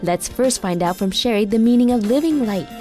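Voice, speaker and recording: A woman reading scripted documentary narration in a measured, formal way. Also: a little high, lively intonation, music in the background.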